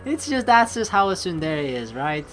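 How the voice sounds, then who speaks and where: Woman doing a let's play, lowish voice, super animated, reading story text and chatting over game music. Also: normal speed. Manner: expressive, playful. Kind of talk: reading game narration and dialogue aloud with casual commentary.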